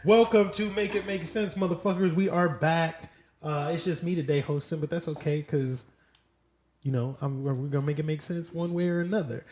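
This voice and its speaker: A man's voice: on the high side, steady tone, moderate pace, a little mellow, reverberant. A man in his thirties hosting a podcast in a blunt, brash way, swearing freely. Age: 30s. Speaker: podcast host. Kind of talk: casual, profane podcast chat.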